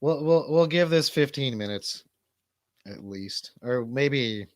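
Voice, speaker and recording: A man's voice: mid-range voice, lively intonation, slow pace, no echo, clean studio sound. A man co-hosting a livestream in casual banter, with a rambling, slangy, jokey way of talking. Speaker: livestream co-host.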